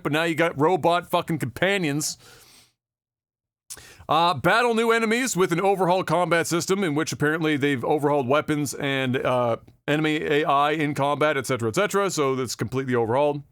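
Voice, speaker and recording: A man co-hosting a gaming podcast in a casual, profane rant. His voice sits fairly high. The sound is close and dry. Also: fairly bright tone, no room sound. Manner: profane, wry ranting. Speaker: podcast co-host. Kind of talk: casual gaming news chat.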